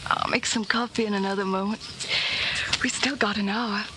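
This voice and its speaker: An actress playing a bold, practical young rebel who is a tender lover, brisk and intimate in 1940s radio drama style. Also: female voice, medium pitch, fairly even intonation, close to an everyday voice. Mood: playful, intimate. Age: young adult. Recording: noisy old 1940s radio recording.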